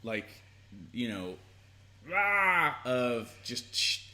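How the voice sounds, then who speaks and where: A man's voice: mid-range voice, big pitch swings, extremely slow, pushed and bright. A man co-hosting a casual advice chat, playful and jokey, thinking aloud as he talks. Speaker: co-host.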